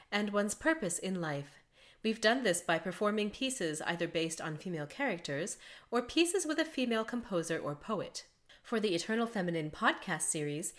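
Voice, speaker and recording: A woman hosting a podcast, a mezzo-soprano telling a composer's life in a chatty way, laughing often between facts. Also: medium pitch. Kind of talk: conversational podcast storytelling.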